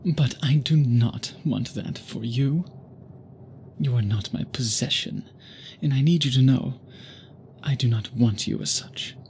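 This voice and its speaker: A man playing an obsessed, courtly gentleman vampire in an intimate audio roleplay, speaking formally and deliberately to the listener. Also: mid-range voice, a little hiss or hum, close to an everyday voice. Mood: intense, reassuring. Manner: formal, flowery, measured speech with deliberate pauses. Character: obsessed, courtly gentleman vampire suitor, possessive yet restrained. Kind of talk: intimate scripted ASMR roleplay, addressed to listener.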